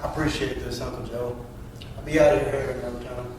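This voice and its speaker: A man's voice: mid-range voice, level tone, a little fast, natural voice. A man reading the part of an earnest, troubled veteran down on his luck at a script table read. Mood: subdued, grateful. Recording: echoey room, distant mic, faint noise.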